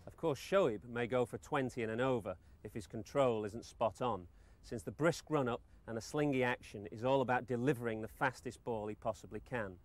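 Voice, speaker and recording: A male narrator with a mid-range voice reads a scripted sports documentary. He explains the action steadily and clearly. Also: medium pitch, a little fast, few pauses.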